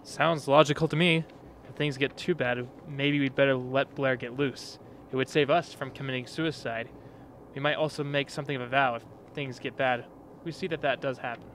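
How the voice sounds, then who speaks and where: A man's voice: medium pitch, steady tone, normal speed, a bit bright, a little hiss or hum, close to an everyday voice. A man playing a dry, fatalistic expedition member in an amateur radio play.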